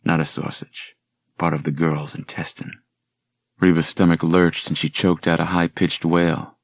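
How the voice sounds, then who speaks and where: A man reading a suspense horror novel as a narrator, measured and dramatic, with a gravelly voice. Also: low voice, little pitch movement, dry recording. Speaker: audiobook narrator.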